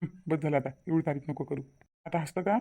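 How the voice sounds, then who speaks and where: A man plays a devoted young cartoon fiance with a very mellow, dark voice and a Varhadi Marathi accent. He talks quickly and colloquially, repeating himself for emphasis as he reassures and insists. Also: fairly high pitch, fairly even intonation. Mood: reassuring, pleased. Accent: Varhadi Marathi from Vidarbha. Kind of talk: cartoon family drama dialogue.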